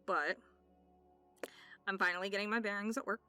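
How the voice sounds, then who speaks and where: A woman's voice: medium pitch, steady tone, moderate pace, bright, forceful tone. A woman host giving a casual, candid personal update to listeners in an informal, giggly way. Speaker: ASMR channel host. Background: music.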